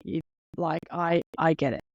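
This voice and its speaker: A woman's voice: deep voice, unhurried, darkish tone, no room sound, muffled recording. Earnest Finnish-accented woman in her thirties. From Finland. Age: 30s. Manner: earnest, openly nervous, heartfelt. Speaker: podcast host. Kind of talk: solo podcast monologue.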